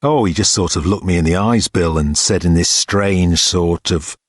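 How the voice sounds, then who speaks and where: Man acting a chatty wiry porter, slightly husky. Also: deep voice, normal speed, dry recording, studio quality. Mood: rambling. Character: short, wiry, chatty male college porter. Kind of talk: audiobook character dialogue.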